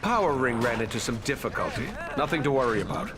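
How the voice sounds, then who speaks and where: A man's voice: mid-range voice, very animated, quick pace, very noisy, natural voice. Man as a smug fake hero, reverberant. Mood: smug, reassuring. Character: smug, glib male villain posing as a hero.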